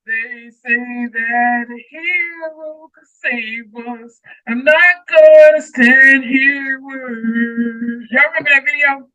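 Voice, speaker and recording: A woman's voice: high voice, slow pace, pushed and bright, no room sound. A woman co-hosting a Bible read-along, speaking informally in casual chat and reading aloud, on a muffled home stream mic.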